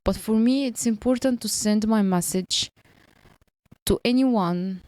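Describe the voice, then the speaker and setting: A woman's voice: medium pitch, a little slow, dark tone, no room sound. A woman in her early thirties, a non-native English speaker, giving an earnest motivational talk and repeating her points. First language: not English. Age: early 30s. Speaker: motivational vlogger.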